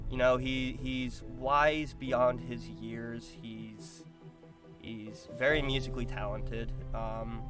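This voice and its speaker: A man giving casual interview answers as a voice actor, thoughtful and pausing to find his words. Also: mid-range voice.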